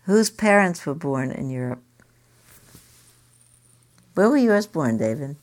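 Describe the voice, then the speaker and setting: An older woman teaching a group, asking many quick questions in a chatty way. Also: sits low, lively intonation. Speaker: meditation teacher leading a group. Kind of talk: informal group discussion.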